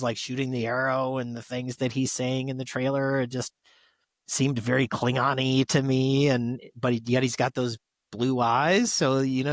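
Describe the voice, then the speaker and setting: A man's voice: mid-range voice, no echo. A man co-hosting a podcast, giving enthusiastic, conversational review commentary. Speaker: podcast co-host.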